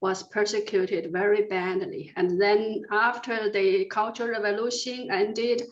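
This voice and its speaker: A woman's voice: medium pitch, steady tone, lo-fi sound. Chinese-accented woman, distant roomy call audio. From China. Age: 50s. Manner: measured, with frequent hesitations and restarts. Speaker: guest. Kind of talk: interview answers.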